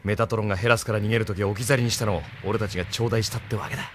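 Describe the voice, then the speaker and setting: An actor playing a cocky, hot-tempered young rebel pilot with rough, boastful speech in a Japanese audio drama. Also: male voice, lowish voice, fairly even intonation, racing, fairly bright tone, a little hiss or hum, close to an everyday voice.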